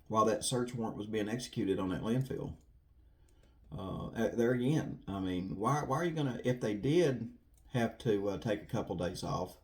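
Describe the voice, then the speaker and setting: A man's voice: fairly low pitch, a little mellow. A man giving casual, rambling commentary to viewers in an earnest, folksy way, repeating himself for emphasis. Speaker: true crime video host.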